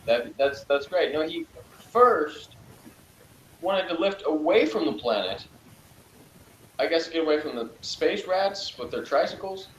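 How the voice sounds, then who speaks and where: A man's voice: fairly high pitch, animated, a little slow, pauses often. Man, co-host, rambling and scornful, echoey room, light background noise. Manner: dismissive, rambling with fillers. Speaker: podcast co-host. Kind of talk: casual review chat.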